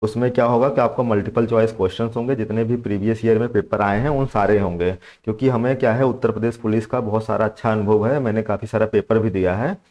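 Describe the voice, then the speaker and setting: A man's voice: fairly low pitch, steady tone, fast, few pauses, a little mellow. A young man giving informal exam coaching, explaining step by step and repeating his points with frequent check-in tags. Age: young adult. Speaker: exam coach and channel host. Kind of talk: informal instructional talk to students.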